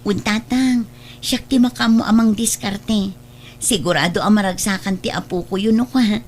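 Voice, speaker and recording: An actress plays a devoted, poor Filipino mother with an Ilocano accent in a radio-style drama. Her voice sits at a middle pitch and is humble and earnest. Some noise sits behind the recording. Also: moderate pace, natural voice. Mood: cheerful, devoted. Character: poor, devoted, self-sacrificing mother, market vendor.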